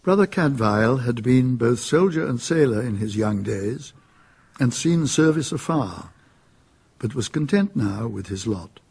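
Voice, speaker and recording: A man with a husky voice reading scripted narration as the narrator of a radio drama. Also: medium pitch, lively intonation, measured pace, a little mellow, slight background noise.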